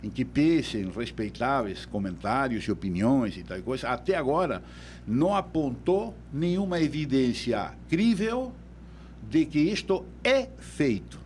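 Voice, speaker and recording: A man's voice: medium pitch, sing-song, measured pace, a little mellow, slight background noise. A man from Uruguay with a Uruguayan Spanish accent, a law professor explaining things as a guest in a radio studio, often repeating words while he thinks.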